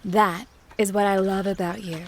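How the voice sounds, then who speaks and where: Actress, young affectionate student girlfriend, medium pitch, conversational audio drama dialogue, faint background noise. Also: fairly even intonation, normal speed, lightly acted. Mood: affectionate, teasing. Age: young adult. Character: affectionate young female student, eager fiancée.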